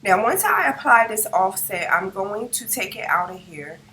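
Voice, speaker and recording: A hoarse woman narrating a step-by-step design tutorial, describing each click as she works and checking in with the viewer. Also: mid-range voice, very animated, moderate pace, pushed and bright, slight background noise, low-quality recording. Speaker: crafting tutorial host. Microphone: no microphone, device's built-in mic.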